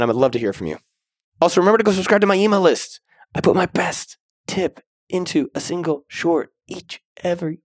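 A slightly raspy man in a mid range hosts a podcast in a loose, upbeat, slangy way. His sound is dry and close-miked. He hypes people up and chats like he is talking to a friend. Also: mid-range voice, no room sound. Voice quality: a little raspy. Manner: upbeat, slangy, hypes and gives shout-outs. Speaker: podcast host. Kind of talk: casual podcast chat and outro.

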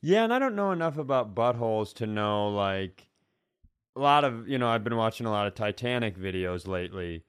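A man's voice: lowish voice, expressive, a little fast, clean tone, no room sound. Man joking in a dry-sounding room. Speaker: comedy podcast co-host. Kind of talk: joking casual chat.

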